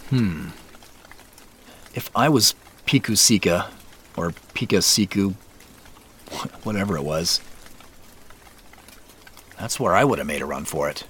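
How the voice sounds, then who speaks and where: A man's voice: deep voice, lively intonation, unhurried, long pauses, fairly bright tone, a little hiss or hum. A man acting in an audio drama as a wry everyman searcher, muttering to himself in a hesitant, informal way. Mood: musing, wry. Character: Joseph, wry everyman searcher puzzling over clues.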